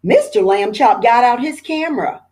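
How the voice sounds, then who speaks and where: A woman, a teacher, reading a children's picture book aloud in an expressive storytelling manner. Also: a little high, normal speed.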